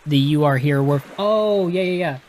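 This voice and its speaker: A man's voice: on the high side, moderate pace, a little mellow, slight background noise. A man with a Texan accent, reading a gamebook aloud and casually chatting through its rules with a live audience, often restarting his sentences. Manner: casual and explanatory, with frequent restarts and self-corrections.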